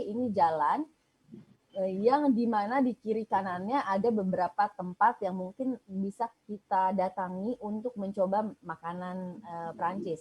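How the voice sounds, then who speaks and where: A woman speaks as a guest over a video call. Her voice has a soft, breathy edge, and she explains at an easy pace with little pauses. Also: medium pitch, rough recording. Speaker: guest on a travel talk show, living in Paris. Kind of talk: casual chat.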